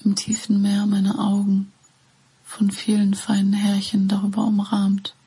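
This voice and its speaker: A woman in her twenties whispering a poem slowly and flatly. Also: mid-range voice, slightly rough, poor audio. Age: 20s. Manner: whispering, flat and slow. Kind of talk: whispered poetry reading.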